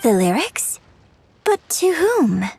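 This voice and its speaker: High-voiced refined young opera singer. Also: female voice, sing-song, slow pace, vocal fry, a little mellow, slight background noise, exaggerated voice. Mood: modest, hesitant. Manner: polite, thoughtful, modest. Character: refined young female opera performer, gentle and modest. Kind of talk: video game voice acting. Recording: faint game music behind.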